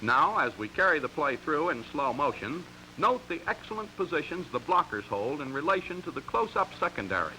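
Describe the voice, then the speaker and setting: A man's voice: a little high, very animated, a little fast, hardly any pauses, bright, forceful tone, a little hiss or hum, rough recording. An American man reading scripted narration for a 1940s sports training film in an emphatic, instructional way, on a vintage soundtrack. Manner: crisp, emphatic, instructional delivery.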